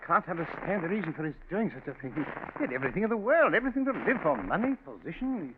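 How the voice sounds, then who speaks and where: A man's voice: high-pitched, sing-song, fast talker. A man playing a puzzled, brusque older uncle in a 1940s radio drama, on a noisy, tinny recording. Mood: bewildered.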